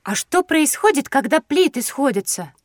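Animated, high-voiced little girl. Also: moderate pace, no echo, studio quality. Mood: eager. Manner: questioning, polite. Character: bright inquisitive little girl, polite and quick. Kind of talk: children's audio drama.

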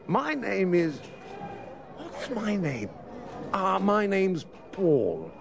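A man playing a gravelly, gloomy doctor. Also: high voice, wildly swooping pitch, drawn-out words, noisy background, heavily acted. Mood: mournful. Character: gloomy, forgetful male doctor. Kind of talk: scripted language course dialogue.

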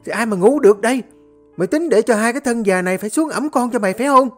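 A woman doing a shrill, cruel old mother-in-law. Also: lively intonation, quick pace, soft background music. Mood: scolding. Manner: screeching, insulting tirades. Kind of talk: audio story reading.

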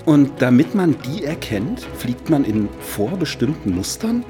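A man's voice: medium pitch, sing-song, a little slow, music in the background. An actor in a radio drama playing a skeptical man, sarcastic and incredulous in manner. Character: skeptical, sarcastic man picking apart a conspiracy theory.

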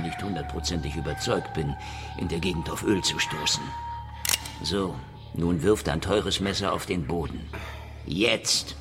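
An actor playing a world-weary, wisecracking private eye in a noir radio drama, sarcastic, cocky and theatrical. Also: male voice, sits low. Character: world-weary, wisecracking hard-boiled male detective Marlow.

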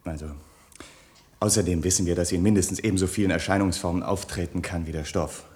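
An actor playing an anxious, deferential research assistant in a vintage radio drama, hesitant and wordy when explaining. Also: male voice, low voice, steady tone, fast, a little mellow, lightly acted.

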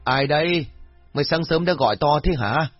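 A man's voice: on the high side, moderate pace, dry recording, muffled recording, soft background music, voice pushed up, close to an everyday voice. A man voicing an older village wife, fussy, scolding and flustered, in an audio story. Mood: alarmed.

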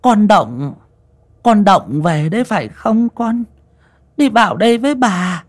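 A man's voice: sits high, lively intonation, unhurried, voice pushed up. A man voicing a frail, bedridden old grandmother who calls out tenderly, faltering over her words. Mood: anxious, tender. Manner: gentle, coaxing, faltering.

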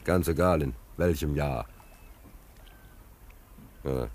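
An actor playing a talkative, wry, soft-hearted homeless angler in a German radio play, colloquial and repeating himself. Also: male voice, rumbling low, slow, heavily acted. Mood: chatty, wry.

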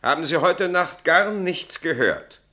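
Forceful, commanding army captain. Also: male voice, fairly high pitch, lively intonation, a little slow. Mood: probing, stern. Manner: curt, authoritative questioning. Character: brusque, commanding army captain interrogating witnesses. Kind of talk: German radio play acting.

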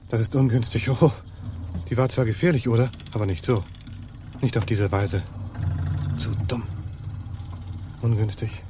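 An actor playing a terse, calculating pirate officer in a radio play, speaking in muttered fragments. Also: male voice, medium pitch, close to an everyday voice. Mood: cool, brooding. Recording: noisy radio play ship soundscape.

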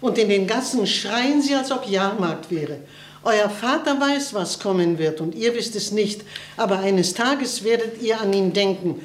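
A woman's voice: mid-range voice, unhurried, lightly acted. A woman playing a small, outspoken older aunt in a radio play, indignant and foreboding. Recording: roomy indoor echo.

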